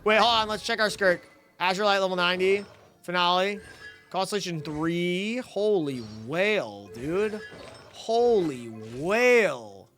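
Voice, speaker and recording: Casting: guy streaming games, bright high voice, slangy and playful, swearing freely, music behind. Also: animated, unhurried. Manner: slangy, playful, profane, hype reactions. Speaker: game streamer. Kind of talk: casual live gameplay commentary.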